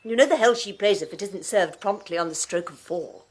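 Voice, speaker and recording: A woman's voice: mid-range voice, fairly quick, slightly rough, lightly acted. A woman playing a sardonic British secretary. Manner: wry, clipped, sarcastic. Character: dry, sardonic female secretary. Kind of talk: 1980s radio drama acting.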